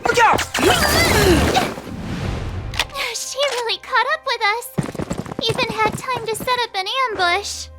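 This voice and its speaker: A woman's voice: very high-pitched, moderate pace. A woman voicing a perky, cheeky teenage girl adventurer in an anime game, exclamatory and playful. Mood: alarmed. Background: game music.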